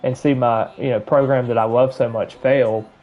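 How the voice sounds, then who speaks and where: A man's voice: medium pitch, steady tone, moderate pace, highs cut off. A man from Alabama with a Southern accent, chatting casually and rambling while he plays a video game on stream. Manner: rambling, filler-heavy, chatting while playing. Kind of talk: casual gameplay commentary.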